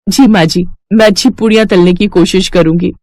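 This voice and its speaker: A woman's voice: mid-range voice, steady tone, fairly quick, a little mellow, no room sound, lightly acted. A woman playing a humble, devout young bride with a polite and earnest way of speaking.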